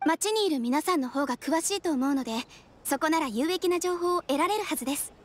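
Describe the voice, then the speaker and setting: Woman as a high-voiced, polite young receptionist. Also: close to an everyday voice. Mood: composed. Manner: formal, measured, courteous. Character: polite young female adventurers' guild receptionist, composed. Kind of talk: anime game voice acting.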